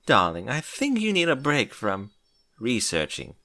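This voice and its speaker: A man's voice: mid-range voice, wildly swooping pitch, unhurried, pushed and bright, full character voice. A man voicing a refined, dramatic female unicorn with affected, genteel manners in a storybook reading. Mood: concerned, coaxing. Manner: affected, genteel, uses endearments.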